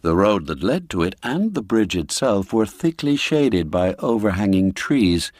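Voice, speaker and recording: A man reading a classic gothic story as an audiobook narrator, in a measured, literary, atmospheric style with music behind him. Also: fairly low pitch, very animated, a little mellow, no echo, clean studio sound.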